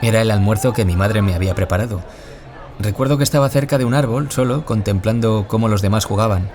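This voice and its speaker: A low-voiced young man from radio drama played by a male actor, a gentle, wounded author giving an interview. He speaks in a measured, confessional way, with music underneath. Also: natural voice. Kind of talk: audio drama acting as a radio interview guest.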